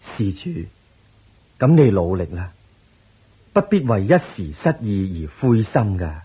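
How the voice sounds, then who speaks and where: An actor playing a serene, wise Buddha in a Cantonese radio drama, teaching patiently and solemnly with a Hong Kong accent in drawn-out phrases. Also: male voice, lowish voice, sing-song, mellow, husky, full character voice, low-quality recording. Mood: encouraging.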